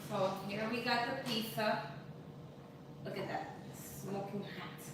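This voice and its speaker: A woman's voice: medium pitch, slow pace. A woman in her thirties hosting a cooking live stream from her home kitchen, chatting casually and reading viewer comments aloud. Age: 30s. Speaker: cooking channel host. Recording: echoey kitchen, distant mic, noisy.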